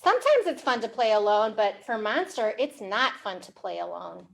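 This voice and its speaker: A woman hosting a storytime for young children, reading aloud in an animated way with simple, exaggerated, encouraging questions. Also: mid-range voice, gravelly. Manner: slow, simple wording for English learners. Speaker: children's storytime librarian host.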